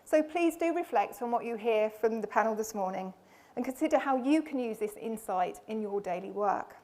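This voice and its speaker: A woman's voice: fairly high pitch, expressive, normal speed, a little mellow. Friendly woman hosting a conference panel. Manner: friendly, reassuring, lightly joking. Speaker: panel host, charity deputy chief executive. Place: conference room with audience.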